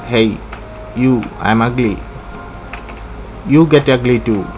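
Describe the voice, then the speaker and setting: A man giving casual, halting gameplay commentary, repeating short phrases. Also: medium pitch, darkish tone, a little hiss or hum, low-quality recording. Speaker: gamer.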